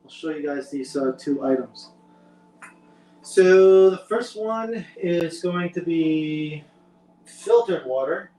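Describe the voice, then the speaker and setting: A man explaining a home test step by step in a relaxed way while co-hosting a live stream. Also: fairly high pitch, slow, recorded from a distance, soft background music. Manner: laid-back, explains step by step. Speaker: co-host demonstrating a test. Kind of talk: casual explanatory demo. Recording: roomy sound from away from the mic.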